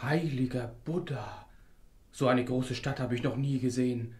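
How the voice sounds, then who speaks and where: An actor playing a naive, curious young farmer's son, earnest, in a fairy-tale audio drama. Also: male voice, medium pitch, a little slow, a little mellow, lightly acted. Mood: amazed.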